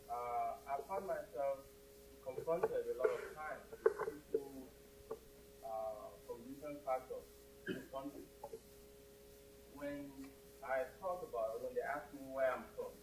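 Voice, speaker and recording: A man with a Nigerian accent asks a long, halting question from the audience, full of uh's. He sits well away from the microphone, so his voice sounds thin and roomy. A clear hum runs underneath. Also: mid-range voice, big pitch swings, darkish tone. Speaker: audience member asking a question. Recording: distant, echoey hall with hum.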